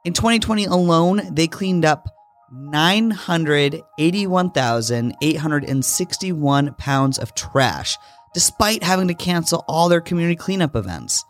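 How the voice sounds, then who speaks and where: A man's voice: fairly high pitch, expressive, unhurried, faint music behind. A man hosting a podcast, reading a scripted intro with enthusiasm and then asking a guest questions. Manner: upbeat and enthusiastic. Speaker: podcast host.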